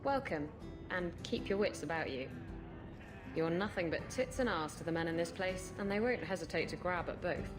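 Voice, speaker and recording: A flirtatious female pirate captain from a fantasy game, played by a woman. Her voice sits on the low side, sultry and brazen, teasing as she talks. Music runs behind it. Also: moderate pace, natural voice. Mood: teasing, bold.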